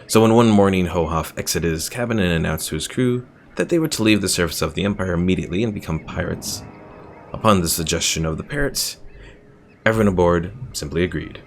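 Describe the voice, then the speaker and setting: A man's voice: sits low, animated, fairly quick, a little hiss or hum. A man streaming a strategy game, chatting casually, rambling about the lore and reading viewers' messages aloud. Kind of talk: casual gameplay commentary.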